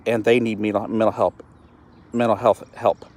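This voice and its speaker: A man in his late thirties speaks on a solo live stream with a fairly low voice. He sounds earnest and frustrated, and he often trips over his words and starts them again. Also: flat delivery, moderate pace, a little mellow. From East Texas, US. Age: 30s. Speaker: host of a live-stream show.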